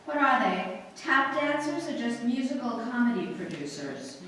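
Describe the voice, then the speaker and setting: A woman's voice: on the high side, sing-song, fairly bright tone. A woman playing a headstrong, sarcastic young society bride in an old-time radio drama. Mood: scornful. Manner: brisk, sardonic, emphatic. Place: live stage performance. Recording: roomy hall, distant mic, faint noise.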